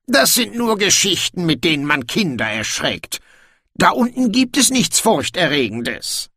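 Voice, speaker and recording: A man voicing a proud, sly, sardonic dwarf king in an audiobook, with a slow, knowing delivery. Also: fairly high pitch, very animated, sharp tone, husky, no echo, clean studio sound, pitched above the performer's own voice, heavily acted. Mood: brisk, dismissive.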